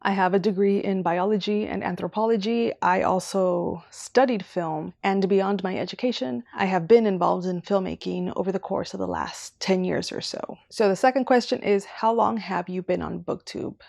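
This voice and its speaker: A woman's voice: mid-range voice, normal speed, vocal fry, hardly any pauses, darkish tone, dry recording, studio quality. A young woman from Southern California chatting casually on camera about her reading channel, rambling and going off on tangents. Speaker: booktuber. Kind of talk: casual vlog talk.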